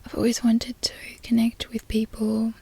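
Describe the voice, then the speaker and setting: A woman with an Australian accent, an ASMR creator softly whispering repeated trigger words. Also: on the high side, completely flat delivery, moderate pace, vocal fry, husky, no room sound.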